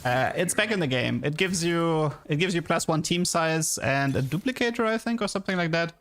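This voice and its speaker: A man's voice: fairly high pitch, lively intonation, brisk, fairly bright tone. A man with a German accent, chatting casually with viewers on a game livestream in a rambling, self-amused way. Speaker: game streamer. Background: music.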